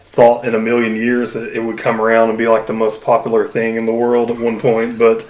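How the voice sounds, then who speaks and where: Male co-host, mid pitch, rambling casual delivery, echoey room sound. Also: monotone, fairly quick, a little mellow. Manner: rambling, full of fillers like "you know" and "like". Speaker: podcast co-host. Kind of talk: casual podcast chat.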